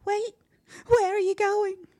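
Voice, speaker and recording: Woman doing a shrill, panicky young bully boy. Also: fairly even intonation, slow, mellow, no room sound, clean studio sound. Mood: desperate pleading. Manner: shrill, pleading, wailing.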